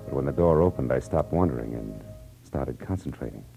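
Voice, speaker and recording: A man's voice: very deep voice, expressive, fairly quick, vocal fry, full character voice, low-quality recording. An American actor playing a wry, flirtatious, tough-talking male private detective in a 1940s radio drama. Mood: wry narration. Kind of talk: 1940s radio acting with first-person narration. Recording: old radio recording with music.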